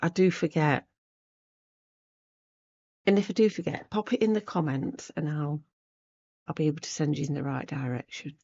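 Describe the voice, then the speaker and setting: A woman with a croaky, hoarse voice from a cold, giving a casual, chatty craft tutorial and thinking aloud as she goes. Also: sits low, normal speed, long pauses, dark tone, muffled recording. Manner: unscripted, rambling, thinking aloud while working. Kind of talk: casual chatty needlework demonstration.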